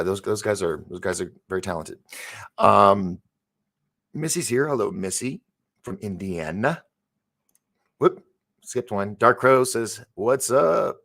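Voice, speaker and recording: A man in his fifties hosting a live stream, chatting casually and rambling as he reads out viewer comments. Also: lowish voice. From San Francisco. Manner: rambling, chatty, stumbles over words. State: recovering from an injury. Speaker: host of a live stream show.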